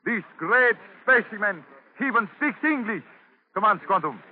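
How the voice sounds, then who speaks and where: An actor playing a boastful slave auctioneer declaiming a sales pitch, in 1940s radio style. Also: male voice, expressive, measured pace. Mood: hard-selling. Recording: tinny, hissy 1940s radio recording.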